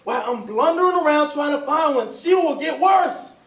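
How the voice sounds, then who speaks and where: Woman playing an earnest, high-voiced Southern husband. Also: expressive, moderate pace. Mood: commanding, alarmed. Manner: urgent, pleading, commanding. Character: John, earnest, bossy returning husband, anxious and lovelorn. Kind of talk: staged readers theatre, Southern period dialect. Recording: echoey, distant stage recording.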